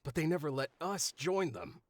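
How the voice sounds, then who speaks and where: A man voicing an easygoing, chatty male forge worker in a video game, with a husky voice. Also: a little high, very animated, moderate pace, no echo. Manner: chatty, casual.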